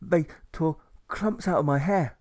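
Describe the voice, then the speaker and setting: A man's voice: fairly high pitch, unhurried, darkish tone, no room sound, studio quality, voice pushed up, lightly acted. A man voicing a nervous, self-pitying engineer in an audiobook, fretful and plaintive. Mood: plaintive distress. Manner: plaintive rhetorical questioning, fretful.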